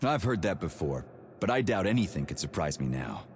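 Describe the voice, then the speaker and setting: A man playing a gruff, foul-mouthed, hot-headed army major in a video game, with a blunt and sarcastic husky delivery. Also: deep voice, big pitch swings, fairly quick, big-room sound, faint music behind, heavily acted. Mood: disbelieving. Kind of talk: video game cutscene acting.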